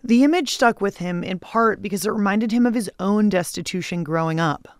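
A woman hosting a radio show, reading scripted links in a brisk, upbeat, conversational way. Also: mid-range voice, no echo, clean studio sound.

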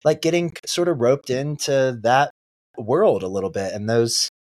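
Man in his thirties, teasing, lively swooping pitch, casual talk. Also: measured pace. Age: 30s. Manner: teasing, jumps high when mocking. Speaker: podcast guest. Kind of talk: casual chat. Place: Los Angeles.

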